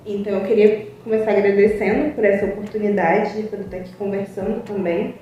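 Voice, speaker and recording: A woman's voice: medium pitch, steady tone, fast, dark tone, muffled recording. Earnest woman psychologist in an echoey room. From Brazil. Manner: earnest, reflective, many "é" fillers. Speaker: psychologist guest. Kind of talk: informal spoken talk to a web TV channel. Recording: echoey room, distant mic, faint music.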